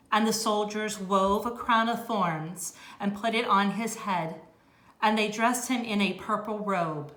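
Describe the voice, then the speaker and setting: Unhurried woman reading scripture in echoey room. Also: mid-range voice, fairly bright tone. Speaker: lay scripture reader.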